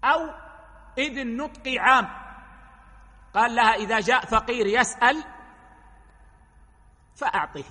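A man gives a religious lesson in a high, bright voice, laying out each ruling step by step. The sound carries a long reverb from a hall. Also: normal speed, pauses often. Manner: explains rulings step by step, quoting hadith. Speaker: preacher.

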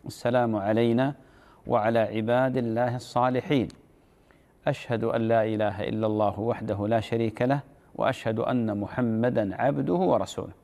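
A man answers listeners' religious questions at a brisk pace. His voice is gravelly with plenty of fry. He speaks in a measured, reassuring way. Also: medium pitch, fairly even intonation, a little mellow. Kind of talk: call-in religious advice show.

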